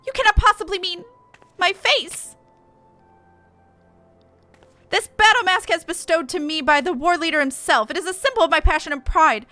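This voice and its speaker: A woman plays a proud, indignant fantasy-game warrior who declaims in archaic, formal speech. The voice is pitched high and pushed out forcefully, with faint music behind it. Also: fairly quick, no echo. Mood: wounded pride.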